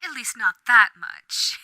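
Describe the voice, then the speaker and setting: A woman's voice: fairly high pitch, sharp tone, dry recording, heavily acted, rough recording. A woman playing a suave sadistic radio demon. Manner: theatrical, old-fashioned polite, toying. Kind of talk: audio roleplay.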